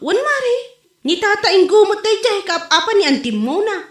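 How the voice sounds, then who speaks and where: An actress with an Ilocano accent playing an animated, gossipy village woman in a comedy drama. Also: extremely high pitch, normal speed. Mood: gossiping. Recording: roomy echo.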